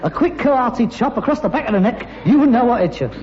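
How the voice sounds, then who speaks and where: A man's voice: very high voice, expressive, fast talker, darkish tone, some chatter behind. An actor playing a bitter, pretentious rag-and-bone man in a British sitcom, with a London accent and a sarcastic, mock-grand delivery. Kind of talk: British sitcom acting before an audience. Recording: muffled, noisy, reverberant old sitcom soundtrack.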